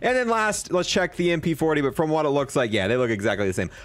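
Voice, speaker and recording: Young man, higher voice, lively swooping intonation, excitable gamer slang, casual review commentary. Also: quick pace, no room sound. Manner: excitable, slangy bro-and-dude talk. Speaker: gaming video creator.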